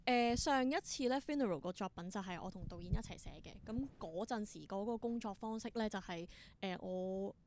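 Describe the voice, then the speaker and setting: A woman's voice: mid-range voice, a little fast, hardly any pauses, darkish tone, a little hiss or hum. A woman with a Hong Kong Cantonese accent chatting as an interview guest, telling stories with enthusiasm and dropping in English words. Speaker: interview guest, independent theatre performer. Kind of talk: casual interview chat.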